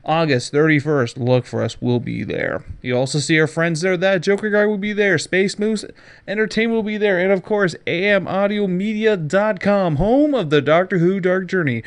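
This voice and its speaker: A male podcast host with a somewhat high-pitched voice delivers casual news commentary. He is sarcastic and jokey, and leans on words with theatrical emphasis. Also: moderate pace, clean tone.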